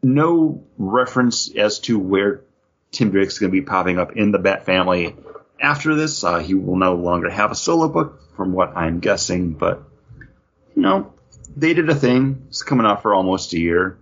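Male podcast co-host, lowish husky voice, casual chat, muffled lo-fi sound. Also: animated, moderate pace. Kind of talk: casual comic book chat. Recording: dull lo-fi recording.

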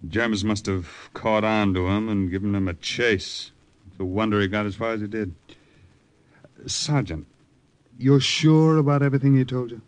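An actor playing a grave, measured army colonel in a 1940s radio drama. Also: male voice, fairly low pitch, lightly acted. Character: grave middle-aged male army colonel.

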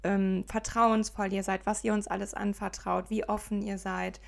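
A woman with a regional German dialect co-hosts a casual podcast, addressing listeners earnestly and directly. Her voice has a gravelly fry at a middling pitch. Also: flat delivery, moderate pace. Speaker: podcast co-host.

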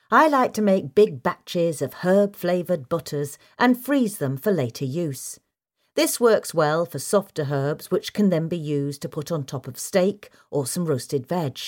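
A woman's voice: lowish voice, a little mellow. A woman reading a scripted instructional piece as a narrator. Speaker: narrator reading a gardening article.

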